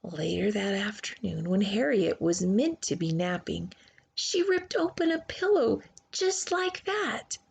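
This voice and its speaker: A woman reading a children's storybook aloud expressively, with theatrical pauses and hushed emphasis. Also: mid-range voice, very animated, gravelly, slightly rough. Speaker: children's book reader.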